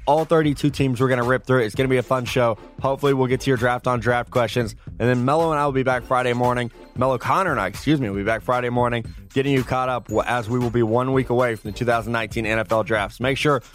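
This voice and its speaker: A man hosting a podcast interview, talking in a relaxed, chatty way with quick asides. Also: medium pitch, soft background music. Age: adult, older than a college player. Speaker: podcast host. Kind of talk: casual interview chat.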